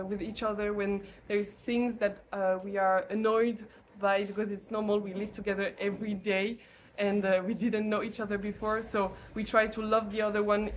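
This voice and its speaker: A young French woman, a native French speaker, giving a casual testimonial to camera in English, halting and searching for words. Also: medium pitch, a little hiss or hum, poor audio. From France. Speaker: lay missionary teacher.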